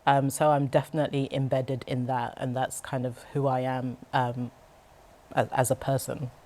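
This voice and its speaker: A woman with an exceptionally low voice and a London accent speaks as an interview guest in a studio. She comes across as reflective and candid, talking in a personal, conversational way. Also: level tone, normal speed, darkish tone. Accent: London British. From born in Cameroon, raised in London. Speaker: interview guest, nonprofit co-founder.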